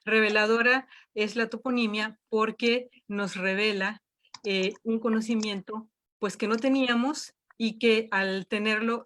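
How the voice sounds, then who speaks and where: A woman's voice: mid-range voice, a bit bright, a little hiss or hum. A woman leading an online workshop from home, talking with many fillers and restarts. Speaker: workshop instructor.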